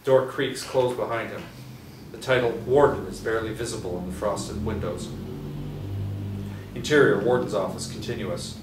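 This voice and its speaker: A man with a lowish voice reads stage directions in a steady way, stumbling a little now and then. He sounds like he is in an echoey room, some distance from the mic, with faint hiss behind him. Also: moderate pace, long pauses. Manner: steady, with small restarts. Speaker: script narrator. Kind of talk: reading stage directions aloud.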